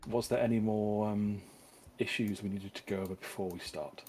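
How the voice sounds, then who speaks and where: A man acting as dungeon master, talking through game updates in a halting way with frequent fillers. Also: lowish voice, fairly even intonation, warm tone, faint music behind. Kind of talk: casual tabletop game talk.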